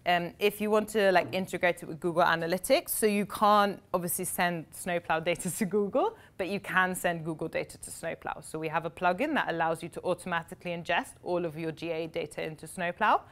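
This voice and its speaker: Young woman fielding tech questions. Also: on the low side. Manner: fluent, quick explanations with fillers like "um" and "like". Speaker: conference speaker answering audience questions. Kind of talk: live Q&A at a tech meetup. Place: meeting room with seated audience, indoors.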